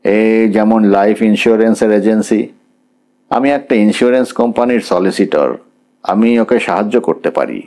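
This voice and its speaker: A man's voice: fairly low pitch, darkish tone, natural voice. Man as pragmatic, businesslike middle-aged father. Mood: pragmatic, negotiating. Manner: measured, businesslike, English words mixed in.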